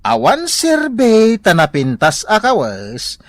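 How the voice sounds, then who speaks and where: Managreklamo nga asawa, agbaliwbaliw ti timek. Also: male voice, sits high, wildly swooping pitch, takes it slowly, dry recording, exaggerated voice. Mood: teasing, exasperated. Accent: Ilocano. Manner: grumbling, exasperated. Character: weary, complaining husband in a comedy drama. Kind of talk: Ilocano comedy radio drama acting.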